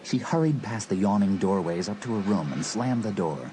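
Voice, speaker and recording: A male narrator reads scripted horror fiction in a low, deep voice. It is an audiobook delivery, with faint noise on the recording. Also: lively intonation, a little fast, dark tone, rough recording.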